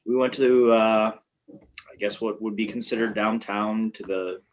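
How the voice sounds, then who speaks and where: A man's voice: fairly low pitch, fairly even intonation, unhurried, low-quality recording. A man casually telling a travel story on a podcast, rambling with many fillers and restarts.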